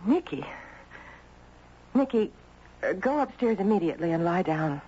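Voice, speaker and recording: A woman playing a polite, protective, grieving mother, measured and guarded, in a muffled, noisy 1970s radio drama. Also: medium pitch, big pitch swings, warm tone, slightly rough. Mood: firm, anxious.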